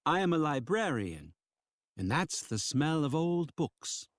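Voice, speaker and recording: A man's voice: fairly high pitch, big pitch swings, takes it slowly, dry recording, studio quality. A man voicing a fussy, curt male librarian in a game who talks in a prim, pedantic way. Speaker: game character. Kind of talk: voiced game dialogue.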